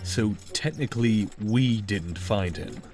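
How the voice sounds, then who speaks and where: A man's voice: fairly low pitch, slow, darkish tone, faint music behind. A man voicing a serious young male chameleon detective who talks in a clipped, formal, dry way. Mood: stern.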